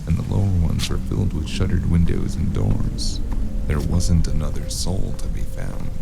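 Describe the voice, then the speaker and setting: A man playing a weary, sardonic investigator in a scripted cyberpunk noir audio drama, telling his story in a gravelly, cursing voice. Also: low voice, heavy background noise, heavily acted. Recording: music bed behind the voice.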